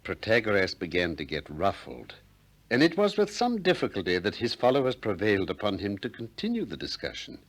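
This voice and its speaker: An actor with a British accent playing a shrewd, politely persistent ancient Greek philosopher in a 1960s radio play, with measured, probing delivery. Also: male voice, on the low side, huge pitch swings, exaggerated voice. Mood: wry narrating. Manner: probing, courteous, measured questioning.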